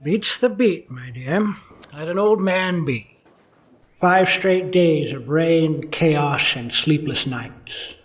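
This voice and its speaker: An actor playing a weary, wisecracking 1950s police detective in a hardboiled noir radio play, narrating with wry fatigue. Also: male voice, on the high side, expressive, slow pace, roomy sound. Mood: weary longing.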